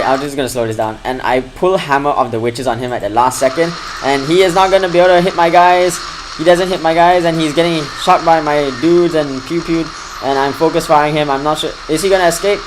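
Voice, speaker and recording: A man casually commentating over a replay of his own strategy game match. His delivery is fairly flat and steady, and it lifts when the action excites him. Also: on the high side, a little fast, background noise. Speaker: gaming channel host.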